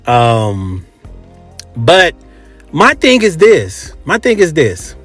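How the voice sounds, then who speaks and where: A man's voice: fairly high pitch, wildly swooping pitch, very slow, fairly bright tone, slightly rough, faint music behind. An American man hosting a casual, opinionated monologue, speaking bluntly and emphatically with swearing and repeated phrases. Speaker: host. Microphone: close microphone.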